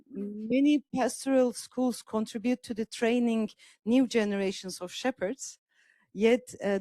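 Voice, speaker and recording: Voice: medium pitch, warm tone, no echo. A speaker from Turkey, asking questions at a panel debate, hesitant with many fillers. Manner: hesitant, many fillers, non-native phrasing. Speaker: audience member asking questions.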